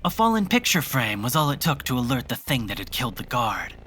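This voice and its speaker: Measured horror narrator over faint noise. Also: medium pitch, big pitch swings, a bit bright. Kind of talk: scripted horror fiction narration. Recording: faint noise and ambience behind voice.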